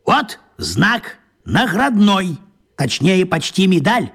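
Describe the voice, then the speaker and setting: An actor playing a grumbling, self-important pot-bellied man in a radio play, with a husky voice. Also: high voice, big pitch swings, takes it slowly, fairly bright tone, exaggerated voice. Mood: pompous. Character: grumpy pot-bellied man in pajamas, proud veteran.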